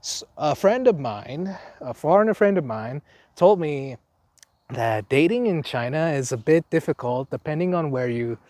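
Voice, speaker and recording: A man's voice: fairly high pitch, expressive, normal speed, darkish tone, no room sound. A young man from Indonesia with an Indonesian accent, telling his vlog audience about his life abroad in a loose, rambling way full of restarts and fillers. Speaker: vlogger, foreign teacher. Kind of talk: unscripted casual vlog talk.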